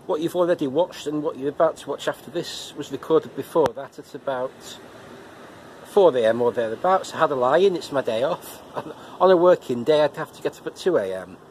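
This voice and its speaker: A chatty middle-aged man with a cold talks straight to his camera. His voice sits slightly high for a man, and he stumbles and repeats words as he goes. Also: expressive, normal speed, darkish tone, a little hiss or hum. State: has a head cold. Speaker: vlogger reviewing episodes. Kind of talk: casual personal vlog talk. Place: living room indoors, and a car park outdoors at night.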